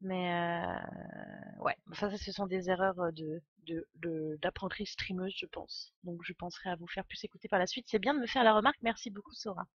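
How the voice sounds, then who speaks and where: A woman's voice: fairly low pitch, fairly even intonation, moderate pace, slightly rough, no echo. Chatty woman streamer, dull dry audio. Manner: enthusiastic, digressive, chatty. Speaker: game streamer. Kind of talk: casual live gameplay commentary. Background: game music.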